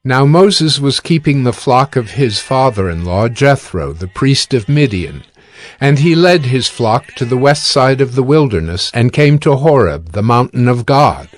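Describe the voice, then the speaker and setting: A man narrating scripture from a script in a measured, formal storytelling manner. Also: mid-range voice, sing-song.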